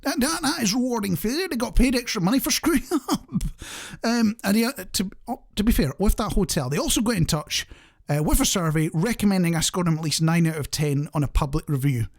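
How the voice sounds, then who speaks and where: A radio presenter with a mid-pitched voice and very animated intonation. The delivery is casual and conversational, addressed straight to the listeners. It wanders into wry, jokey asides. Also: brisk. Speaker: radio show host.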